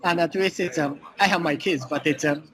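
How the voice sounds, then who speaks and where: A man with a Japanese accent answering as an interview guest, speaking halting non-native English full of filler phrases. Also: on the high side, fairly bright tone. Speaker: interview guest, art collective communications director.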